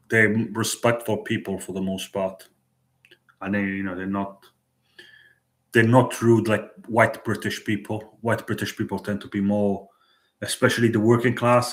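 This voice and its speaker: A man livestreaming at night, chatting in a hesitant, halting way with many restarts and fillers. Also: lowish voice, echoey room. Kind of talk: late-night street livestream chat. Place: out at night in a city.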